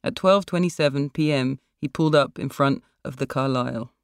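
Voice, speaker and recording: A woman's voice: rumbling low, a little fast, clean tone, no room sound. A woman reads literary fiction as its author, in narration style. The recording is very dry, with almost no room in it. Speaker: author reading own story. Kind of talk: literary short-story narration.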